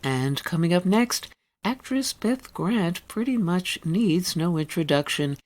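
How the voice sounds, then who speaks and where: A woman presenting a radio arts segment, reading scripted narration in a measured, deliberate way. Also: lowish voice, lively intonation, darkish tone.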